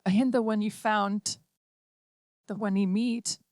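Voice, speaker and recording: A woman voicing a six-year-old android girl who speaks gently and earnestly, as an audiobook reader puts her on. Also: mid-range voice, fairly even intonation, unhurried, no room sound, studio quality, lightly acted. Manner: gentle, pleading.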